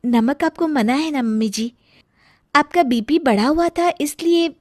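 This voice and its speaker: A woman's voice: high-pitched, a little slow, darkish tone, no echo, clean studio sound. A woman voicing a sweet, pleading young daughter-in-law in a cartoon family story. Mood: meek. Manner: pleading, respectful, emotional. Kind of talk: Hindi moral-story cartoon acting.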